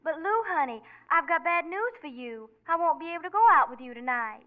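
High-voiced breezy girlfriend. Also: expressive, brisk. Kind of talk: 1940s radio comedy. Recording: tinny 1940s radio broadcast.

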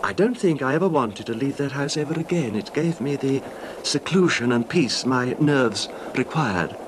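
A man's voice: mid-range voice, lively intonation, close to an everyday voice. A man acting a genteel, hesitant middle-aged husband, a wealthy and nervous recluse, in a radio drama. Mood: wistful, reminiscing. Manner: genteel, wistful, halting, formal wording. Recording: noisy vintage radio drama recording.

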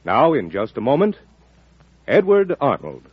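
A man's voice: mid-range voice, huge pitch swings, unhurried. A man with an American accent reading a scripted announcement in old-time radio style. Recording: dull, echo-tinged vintage radio recording.

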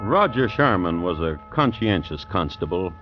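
An actor as a wry, measured storyteller, reading scripted narration in an old-time radio drama. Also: male voice, low voice, big pitch swings, over music. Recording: dull vintage radio recording.

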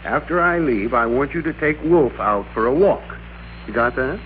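An actor playing a meek middle-aged American office worker turned blustering, bossy master, in emphatic classic radio drama style. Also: male voice, medium pitch, lively intonation, moderate pace, lightly acted. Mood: smug. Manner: emphatic, theatrical, commanding. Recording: muffled classic radio recording with hum.

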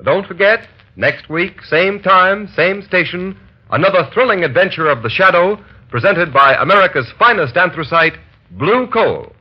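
A man announces in formal, emphatic old-time radio style. His voice is fairly high with a rough edge and lively swoops, heard on a dull vintage broadcast. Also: normal speed. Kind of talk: 1930s radio announcing, scripted.

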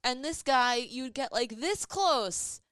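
A woman guest on a podcast, telling lively childhood stories in casual conversation, with lots of "like" fillers. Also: sits high, slow, dry recording, clean studio sound. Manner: lively anecdotal storyteller, many "like" fillers.

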